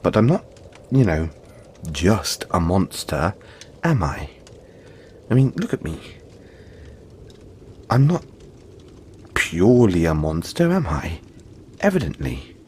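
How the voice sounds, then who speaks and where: Man voicing a deep, slow, cuddly yeti. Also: very animated, darkish tone, slight background noise, full character voice. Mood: apologetic, playful. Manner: halting, soothing, chatty, playful. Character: big cuddly male yeti monster, friendly, apologetic, gentle. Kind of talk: audio roleplay addressed to the listener.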